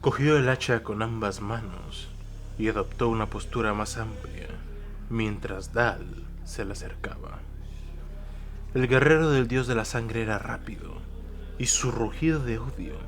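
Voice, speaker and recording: A man's voice: medium pitch, unhurried. A man narrating a scripted war story for an audio drama in a dramatic storytelling style. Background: prominent music.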